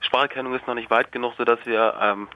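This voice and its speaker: A man's voice: mid-range voice, fairly even intonation, quick pace, no room sound. A man speaking as an expert guest, informally explaining things over a dull phone line. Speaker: AI researcher, interview guest.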